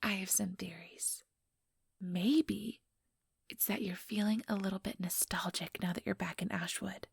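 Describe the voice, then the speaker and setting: A woman playing an ambitious vampire elder in a whispered ASMR roleplay, breathy, deliberate and patronizing, with cool menace. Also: medium pitch, steady tone, dry recording, clean studio sound, heavily acted. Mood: taunting, probing.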